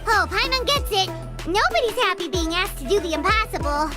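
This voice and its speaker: A woman voicing a tiny, perky, childlike fairy companion in a video game, squeaky and eager. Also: lively intonation, brisk. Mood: perky, sympathetic. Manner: perky, refers to self in third person. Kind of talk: video game character dialogue. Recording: music clearly behind the voice.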